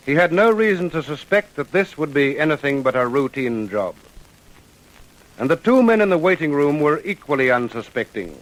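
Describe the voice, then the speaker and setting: A man narrating a scripted radio drama in a measured storytelling manner. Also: medium pitch, fairly bright tone, poor audio.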